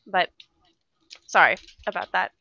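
A woman's voice: lowish voice, animated, a little slow, creaky, pushed and bright, lo-fi sound. A young woman co-hosting a casual live stream, upbeat and enthusiastic, sounding stuffy from a cold, on a headset mic. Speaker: co-host of a game dev stream.

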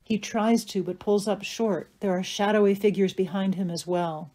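A woman narrating stage directions from a script in a measured, matter-of-fact way. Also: medium pitch, fairly even intonation, mellow.

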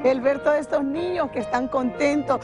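A woman's voice: sits high, moderate pace, music in the background. A middle-aged woman, giving a personal testimony in an interview. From Sonsonate, El Salvador. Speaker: interviewee, immigrant charity organizer.

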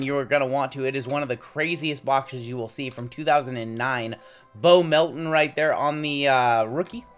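A man in his thirties with a sore throat and a slightly raspy voice, chatting casually through a pack opening. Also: mid-range voice. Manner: relaxed, chatty running commentary with frequent "uh"s. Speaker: card-breaking channel host. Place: indoor home studio with logo backdrop.

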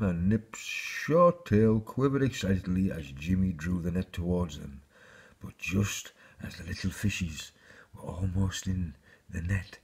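A man with a husky voice, reading a children's fairy tale aloud as a storyteller. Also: low-pitched.

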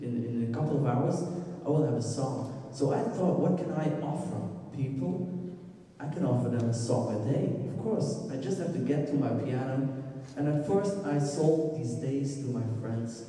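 A man's voice: mid-range voice, dark tone, distant mic. Belgian man lecturing in an echoey hall. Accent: Belgian Dutch. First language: Dutch. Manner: halting, restarts, searches for words. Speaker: musician giving a talk. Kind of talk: presentation talk on stage. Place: large dark auditorium stage. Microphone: handheld microphone. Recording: echoey hall, recorded from afar.

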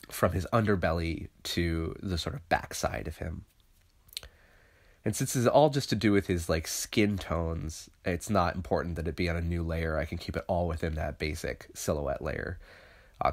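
A man's voice: deep voice, moderate pace. A man with a stuffy, congested voice from a cold, talking through his digital painting process in a loose, thinking-aloud tutorial voice-over. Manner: thinking aloud, loose and conversational with many fillers. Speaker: illustrator teaching digital painting.

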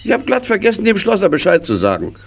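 A hearty middle-aged country man in a children's audio play. He is jovial and blustery, swinging widely in pitch, with some background noise. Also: huge pitch swings, fast talker, vocal fry, full character voice. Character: hearty, good-natured middle-aged farm husband. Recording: noisy vintage audio play recording.

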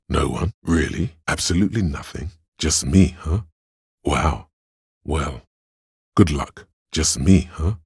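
Very deep, husky voice of reason. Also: male voice, no echo, clean studio sound, exaggerated voice. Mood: dry disbelief. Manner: measured, deliberate, dryly sardonic. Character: cool analytical male inner voice of reason, dry and skeptical. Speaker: voice actor. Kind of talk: video game inner-voice narration.

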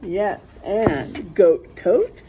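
A middle-aged woman reading a rhyming picture book aloud in a teacherly way, pausing to point out word pairs. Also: medium pitch, expressive, dark tone, a little hiss or hum, rough recording. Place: small classroom, indoors.

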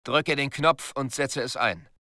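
A stern military commander in a video game. He gives orders and instructions in a curt, commanding manner, with a medium-pitched voice. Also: unhurried, sharp tone, dry recording, clean studio sound. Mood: brisk, instructing. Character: stern male military commander briefing a soldier.

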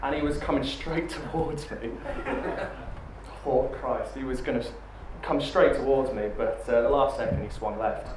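A man's voice: medium pitch, crowd noise. British best man in an echoing hall. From Britain. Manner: quick, joking, chuckling between lines. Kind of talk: wedding best man speech. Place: large wood-panelled banquet hall, indoors. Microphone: handheld mic. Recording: very echoey hall with background noise.

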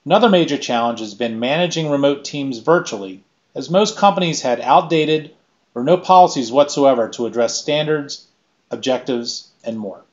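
A middle-aged man giving a scripted keynote presentation in a measured, list-by-list delivery, recorded on a webcam in a small room. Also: mid-range voice, darkish tone, highs cut off. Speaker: keynote speaker.

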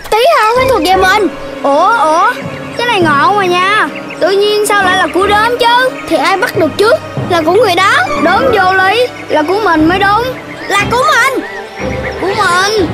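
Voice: normal speed, over loud music. A performer voicing a boastful, squabbling childlike animal character in a children's cartoon, with exclamatory delivery. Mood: petulant squabbling. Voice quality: childlike, very high. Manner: exclamatory, bragging, petulant.

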